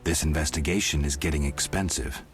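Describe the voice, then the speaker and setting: An actor playing a stoic, gruff monster hunter in a video game, terse and deadpan with a gravelly voice. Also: male voice, very deep voice, brisk. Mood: flat, guarded.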